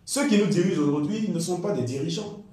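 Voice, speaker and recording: A man delivering a fiery political speech in a forceful, declamatory way with emphatic repetition. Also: high voice, fast. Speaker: political activist. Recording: very echoey, reverberant sound.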